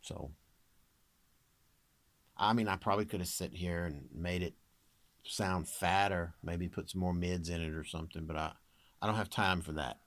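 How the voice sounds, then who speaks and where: A man with a deep voice chatting casually to his viewers in an informal, wry, self-deprecating way. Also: steady tone, moderate pace, long pauses, fairly bright tone, a little hiss or hum. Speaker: amateur singer and video creator presenting own recording.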